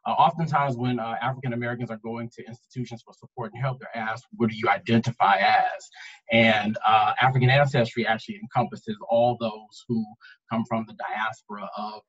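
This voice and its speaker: A man speaking earnestly as a panelist in a webinar discussion, explaining at length with pauses. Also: mid-range voice, steady tone, fairly bright tone, husky, lo-fi sound. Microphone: video call.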